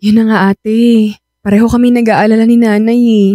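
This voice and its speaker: A woman's voice: fairly high pitch, little pitch movement, moderate pace, mellow, no echo, studio quality, close to an everyday voice. A woman playing a timid, apologetic younger sister in radio drama dialogue, speaking hesitantly and deferentially. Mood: apologetic, worried.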